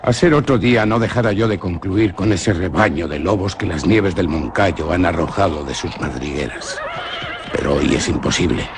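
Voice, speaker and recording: An actor playing a young nobleman who gravely tells an old legend to his cousin. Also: deep voice, background noise. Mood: earnest. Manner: grave, measured storytelling. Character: young nobleman Alonso, earnest storyteller. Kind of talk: radio drama acting.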